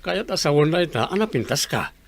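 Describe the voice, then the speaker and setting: A man's voice: on the high side, big pitch swings, fairly quick. A man playing a proud, easygoing, supportive father in a radio drama, speaking fondly and encouragingly. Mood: proud, reassuring.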